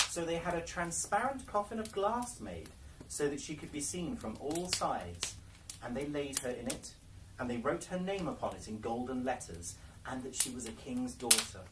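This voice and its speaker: A man narrates a fairy tale with theatrical, carefully measured phrasing and a medium pitch. The recording is noisy and sounds slightly distant from the microphone. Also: expressive. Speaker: library storyteller. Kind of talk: dramatic fairy-tale read-aloud.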